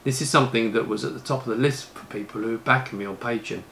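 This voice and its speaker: A man's voice: medium pitch, fast talker, vocal fry, fairly bright tone, a little hiss or hum. A man, presenting a casual retro computing vlog. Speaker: YouTube presenter and computer case maker. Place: indoor rooms with shelves of retro computers.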